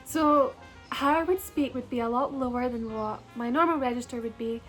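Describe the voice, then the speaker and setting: A young woman from Scotland with a soft, partly Americanized Scottish accent, chatting casually to camera with lots of likes and ums. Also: a little high, moderate pace, soft background music. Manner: chatty, frequent likes and ums, laughs between words. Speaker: vlogger. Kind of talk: casual personal storytime.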